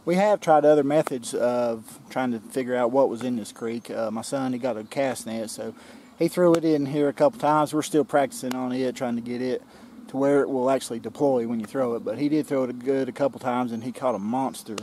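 A man's voice: medium pitch, fairly quick, hardly any pauses, slight background noise. Outdoor vlog host, man, 40s, easygoing, faint creekside ambience. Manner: relaxed, explains as he fishes. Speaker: outdoor vlogger. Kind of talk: casual outdoor vlog narration. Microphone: camera-mounted mic, close framing. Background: faint outdoor nature ambience.

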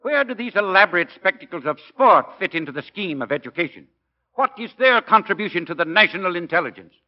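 An actor playing a pointed interrogator at an inquiry, formal and challenging in 1940s radio style. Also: male voice, sits high, animated, fast. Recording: muffled 1940s radio broadcast recording.

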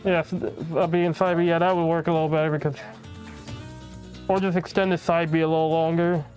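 A man of thirty casting a fighting game tournament in a relaxed, chatty, self-deprecating way. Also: on the high side, brisk. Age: 30s. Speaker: esports caster. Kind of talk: casual game commentary chat. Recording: faint music behind.

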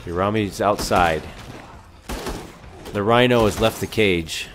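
A man's voice: fairly low pitch, slow, background noise. A man chatting casually while playing a co-op video game, giving running commentary to his teammate. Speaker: gamer commentating on a co-op playthrough. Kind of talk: casual gameplay chat.

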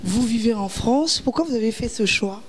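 A woman's voice: on the high side, animated, normal speed, slight background noise. A woman interviewer asking questions in a formal, slightly hesitant way, speaking into a handheld mic on a small indoor set.

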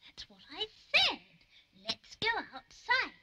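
A woman's voice: very high voice, huge pitch swings, slow pace, bright, forceful tone, a little hiss or hum, exaggerated voice. A woman voicing an eager, brave-talking little toy boy in a children's puppet adventure, earnest and exclaiming in a childlike way. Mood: impatient.

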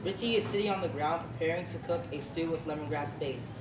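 A young man reads scripted stage directions as narrator. His voice is a little high, heard from off in a very echoey hall with clear background noise. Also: quick pace, far from the mic. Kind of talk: scripted narration, read aloud. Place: large public hall, on stage.

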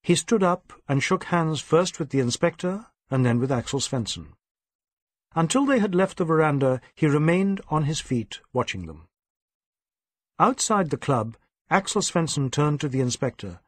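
A man narrating a novel as an audiobook, measured and expressive in his storytelling. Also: a little high, no echo, studio quality. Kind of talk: scripted literary narration.

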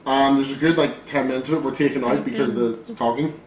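A man's voice: medium pitch, fairly even intonation, quick pace. A man in his thirties hosting a snack taste test with friends, joking, rambling and laughing often, recorded in a small room lined with bookshelves. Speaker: host. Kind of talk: casual chat. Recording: echoey room, faint hiss.

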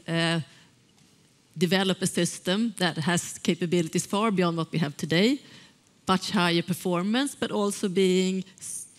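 A panelist on a conference stage answers by running through a crisp list of points. The voice sits at a middle pitch.

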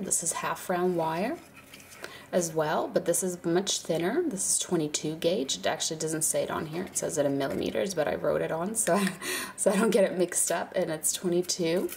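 A woman whose voice sits quite low and sounds stuffed up from a cold. She explains a craft project in a relaxed, chatty way with easy fillers. A faint hum of room ambience sits behind her. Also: creaky. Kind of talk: casual hands-on tutorial narration. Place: indoors at a craft table, birds outside.

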